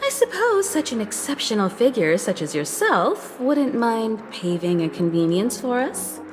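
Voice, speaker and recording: A woman's voice: a little high, sing-song, measured pace, noisy background. Poised, melodic young female navigator. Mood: composed, flattering. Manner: courteous, elegant, persuasive. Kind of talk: scripted video game dialogue. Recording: music behind the voice.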